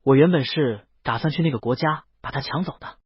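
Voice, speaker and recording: A man voicing a shy, well-behaved young male dragon in an audio story, soft, hesitant and sincere, with a husky voice. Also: fairly high pitch, brisk, no echo. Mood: earnest. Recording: dull, lo-fi dry voice track.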